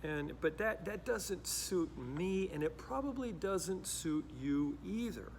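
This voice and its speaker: A man's voice: sits high, lively intonation, slow pace, gravelly, a little hiss or hum. A middle-aged man who grew up in Costa Rica, a pastor speaking to camera in a reflective, earnest way with frequent restarts. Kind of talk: direct-to-camera talk.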